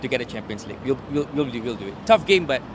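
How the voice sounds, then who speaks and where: A man from Singapore, a fan club member, talking football in an animated, confident way with many fillers. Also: mid-range voice, very fast, noisy background.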